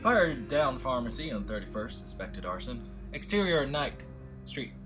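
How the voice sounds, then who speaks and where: Guy hosting a table read over a call, rambly with lots of ums, mid pitch voice, faint music behind. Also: very animated, moderate pace. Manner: rambling, filler-heavy, offhand. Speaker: writer and host of the table read. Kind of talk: casual chat and reading stage directions. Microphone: video call with desktop audio. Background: score music.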